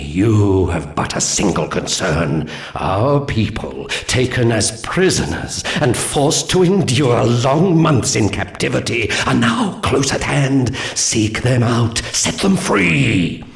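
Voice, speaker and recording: An actor playing a solemn, commanding alien seer who briefs his warriors in grave, measured, mystical pronouncements for a 1990s game cutscene. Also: on the low side, creaky, heavily acted. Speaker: mission briefer. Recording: roomy reverb on the voice.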